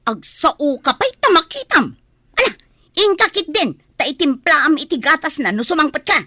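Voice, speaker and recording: A woman's voice: high-pitched, sing-song, moderate pace, pushed and bright, dry recording, studio quality, exaggerated voice. A woman with a northern Philippine accent playing a scolding, argumentative village mother in a comedy radio drama. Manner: nagging, argumentative. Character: scolding, sharp-tongued village mother who gambles.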